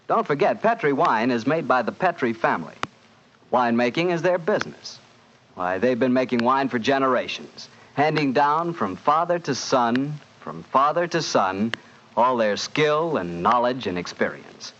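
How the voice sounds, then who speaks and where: A man's voice: medium pitch, lively intonation, moderate pace, a bit bright. An American man hosting in a polished, salesmanlike 1940s radio announcing style. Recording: muffled, noisy 1940s radio broadcast.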